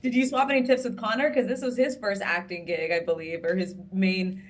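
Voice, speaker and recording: A woman's voice: medium pitch, steady tone, brisk. A woman interviewing actors at a press junket, chatty and upbeat in her questions. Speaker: entertainment interviewer.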